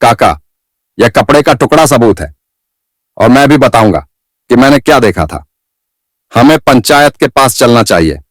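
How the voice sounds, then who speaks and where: An earnest young villager in a cartoon moral story, acted by a man. His voice is mid-pitched and he reasons things out as a loyal helper. The recording is dry and close. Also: animated, moderate pace, pauses often, no room sound, lightly acted. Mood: determined. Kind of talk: Hindi animated moral story dialogue.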